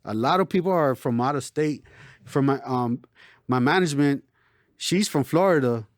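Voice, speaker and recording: A man born in Chicago and raised in El Paso, a rapper talking casually in an interview, relaxed and streetwise, always saying "you know". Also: mid-range voice, normal speed, dry recording, studio quality. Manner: laid-back, streetwise, lots of "you know". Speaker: local rapper, interview guest.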